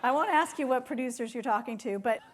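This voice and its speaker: A woman's voice: high-pitched, fast, crowd noise, background noise. A woman hosting a casual on-stage interview in an informal, teasing way. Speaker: interviewer moderating an author event. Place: on stage at a theater event.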